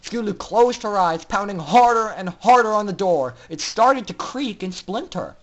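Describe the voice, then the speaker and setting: High-voiced man, dramatic story narrator. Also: expressive, moderate pace, fairly bright tone, lo-fi sound. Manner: theatrical, intense. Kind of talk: dramatic fan fiction narration.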